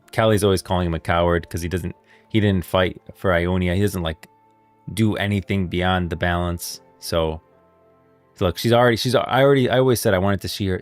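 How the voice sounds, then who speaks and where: A male streamer with a low, creaky voice talks casually through game lore. He thinks aloud in halting, repeated phrases, with soft music playing behind him.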